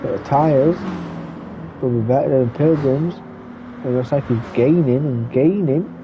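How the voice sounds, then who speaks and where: A man giving relaxed running commentary on a sim race, stuffy and muffled from a cold. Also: mid-range voice, unhurried. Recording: muffled lo-fi recording with background noise.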